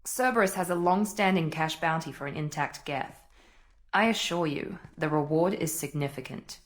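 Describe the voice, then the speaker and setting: An actress playing a composed, assertive officer in a sci-fi game, speaking formally and precisely. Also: female voice, deep voice, moderate pace, lightly acted. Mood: cool, insistent. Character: composed, assertive female officer of a spacefaring organisation. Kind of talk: video game voice acting.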